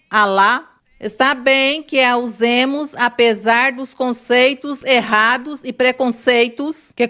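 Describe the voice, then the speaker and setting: Woman, interpreter, fairly high pitch, steady measured reading. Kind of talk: reading a translation.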